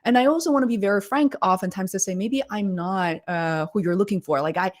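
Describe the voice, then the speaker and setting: An enthusiastic woman hosts a livestream interview over a video call. Her voice sits at a mid pitch. She talks in an effusive, conversational way. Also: fairly quick. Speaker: livestream host.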